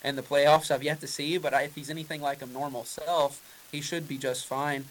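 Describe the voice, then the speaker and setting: A man's voice: on the high side, flat delivery, a little fast, a bit bright, rough recording. Casual, rambling race driver, a man. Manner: casual, rambling, frequent fillers and restarts. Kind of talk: press conference answers.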